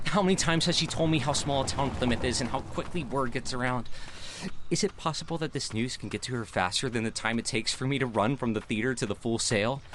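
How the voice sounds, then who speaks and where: An actor playing an earnest, remorseful young man in an audio drama, speaking in a sincere, anxious, sometimes halting way. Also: medium pitch, a bit bright, slight background noise, natural voice. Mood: anxious, rueful. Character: earnest, remorseful young man narrating his own confession. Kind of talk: first-person audio drama narration and dialogue.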